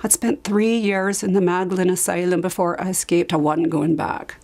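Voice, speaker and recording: A woman acting out a dramatized monologue as an anguished asylum survivor recalling her past, halting, with emphatic repetitions. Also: on the low side, fairly even intonation, a little mellow. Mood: desperate, resolute. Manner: halting, emphatic repetition, sudden drops to whisper. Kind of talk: dramatized monologue, confessional testimony.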